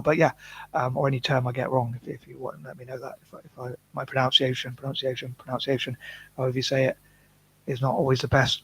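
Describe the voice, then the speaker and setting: A man talking in a rambling, self-conscious vlog monologue, from the UK, monotone and full of restarts and fillers. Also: mid-range voice, husky, low-quality recording. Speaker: vlogger.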